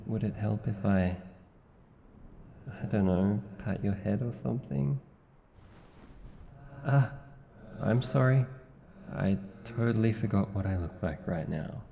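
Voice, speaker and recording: A man with an Australian accent voicing a sleep paralysis demon, menacing yet awkwardly tender, in an intimate ASMR roleplay. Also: a little hiss or hum. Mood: flustered, apologetic. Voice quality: deep, gravelly. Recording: slightly dull, long reverb.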